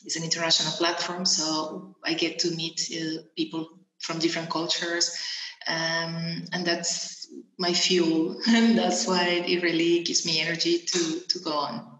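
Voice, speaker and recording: A woman's voice: fairly low pitch, monotone, a little slow, fairly bright tone. Hesitant woman guest in an echoey room. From Venezuela. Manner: hesitant, many ums and restarts. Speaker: guest, coach and mentor. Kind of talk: interview answers.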